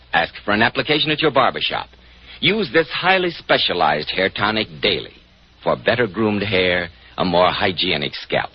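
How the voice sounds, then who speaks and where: Male American announcer, 1940s radio ad read: lower register, very lively inflection, polished sales pitch, dull period recording. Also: slight background noise. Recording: muffled 1940s radio broadcast.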